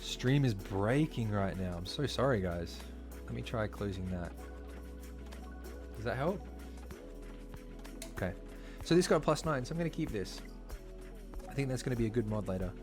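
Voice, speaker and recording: A man's voice: lowish voice, animated, normal speed, long pauses. Chatty man streaming over background music. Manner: chatty, filler-heavy, talks while playing. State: slightly hoarse. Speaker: game streamer. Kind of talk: casual live-stream commentary. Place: home streaming setup. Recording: clear background music under voice.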